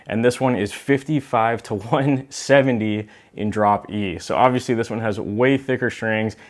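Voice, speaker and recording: A man's voice: on the low side, moderate pace. Man presenting a casual gear video. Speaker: host of a bass gear video series. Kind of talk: casual presenting to camera, product comparison.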